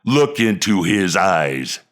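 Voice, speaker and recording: A man voicing an aging football coach who gives a locker-room pep talk with heavy emphasis in a gravelly voice. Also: deep voice, very animated, slow pace, fairly bright tone, heavily acted. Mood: fierce. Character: aging male football coach, worn down and fierce. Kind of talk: dramatic monologue read.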